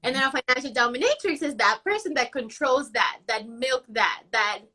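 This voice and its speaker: Young woman from puerto rico, high bright voice, blunt and sure of herself. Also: animated, moderate pace. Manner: bold, blunt, self-assured. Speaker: guest. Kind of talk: casual interview.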